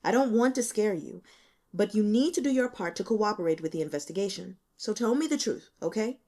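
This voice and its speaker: A woman's voice: medium pitch, expressive, a little fast, dark tone. A woman voicing a blunt, stern male police investigator in an audiobook, pressing with pointed questions. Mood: sharp, pressing. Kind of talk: audiobook character dialogue.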